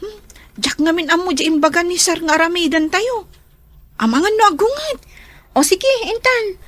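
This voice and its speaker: A lazy young student in a radio drama. Her voice is extremely high. She whines with reluctance. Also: lively intonation, moderate pace, fairly bright tone, no echo. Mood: whining, reluctant. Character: lazy, whining young female student who skips studying.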